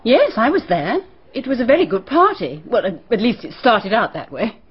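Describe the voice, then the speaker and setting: Prim, guarded schoolteacher. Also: female voice, fairly high pitch, big pitch swings, normal speed, breathy edge, full character voice. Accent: British English. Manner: clipped, guarded, rather prim. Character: prim, nervous woman schoolteacher witness. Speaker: teacher questioned by a detective. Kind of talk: BBC radio drama acting. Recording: dull, muffled archive radio broadcast.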